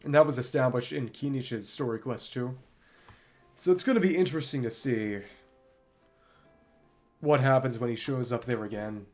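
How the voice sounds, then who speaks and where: A man's voice: mid-range voice, normal speed, long pauses, darkish tone. A man giving casual gameplay commentary as a streamer, thinking aloud and reading game text out as he plays. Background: game music.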